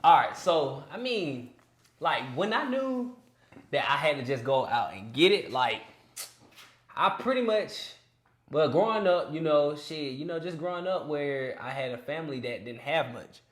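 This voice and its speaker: A man's voice: a little high, sing-song, a little slow, fairly bright tone. A young man in his twenties chatting on a podcast with a slightly raised voice. His talk is loose and earnest, full of "bro" and "you feel me." The room around him rings with echo. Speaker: podcast speaker. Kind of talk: casual podcast chat. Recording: echoey room.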